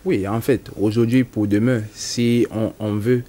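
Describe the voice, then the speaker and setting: A man from Cameroon whose first language is Bassa, a guest expert on digital technology who speaks in a measured, hesitant way, with fillers. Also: fairly even intonation. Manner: measured, hesitant, with frequent fillers and soft laughs. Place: TV studio.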